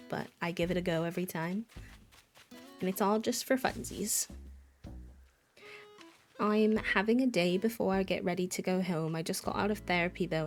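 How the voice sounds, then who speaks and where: Gravelly-voiced woman streamer chatting. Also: on the low side. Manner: chatty, greets chat viewers by name. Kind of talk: casual livestream chat while gaming.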